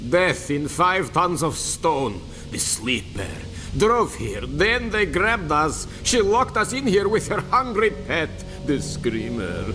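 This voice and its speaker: An actor playing a terrified, half-crazed captive truck driver in a video game, rambling in haunted, repetitive fragments. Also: male voice, a little high, very animated, slow pace, pushed and bright, exaggerated voice. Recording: long hall reverb, music underneath.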